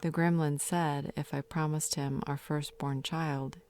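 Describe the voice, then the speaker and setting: A woman playing a grieving, tender fae mother in an audio drama, sorrowfully confessing her regrets in halting, half-whispered speech. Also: deep voice, level tone, a little mellow. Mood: regretful, confessing. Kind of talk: dramatic audio roleplay monologue.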